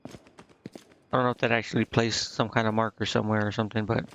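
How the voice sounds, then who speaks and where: A man's voice: on the low side, little pitch movement, fast, a bit bright, slight background noise. A man giving casual live gameplay commentary, muttering and thinking aloud with hesitation. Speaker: game streamer. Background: game music.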